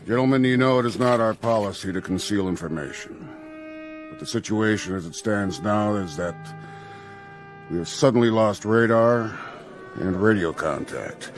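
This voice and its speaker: An actor playing a stern Air Force general in a radio drama, speaking in a deliberate, formal, official manner. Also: male voice, on the low side, faint music behind, natural voice. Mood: grave, guarded.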